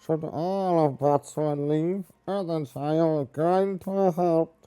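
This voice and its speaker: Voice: medium pitch, takes it slowly, mellow, clean tone, close to an everyday voice. An actor playing a small, quirky goblin-like village elder in a video game, earnest and speaking in the third person. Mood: worried. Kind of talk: video game character acting.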